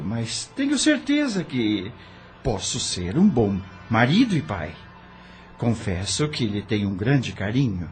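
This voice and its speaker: Earnest, resolute widowed gentleman played by a man, audio drama, mid-pitched and animated, formal delivery.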